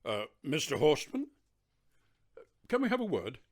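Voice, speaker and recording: A man's voice: fairly high pitch, huge pitch swings, unhurried, full character voice. A man playing a hesitant, formal police detective in a radio play. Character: hesitant, formal male police detective bearing bad news.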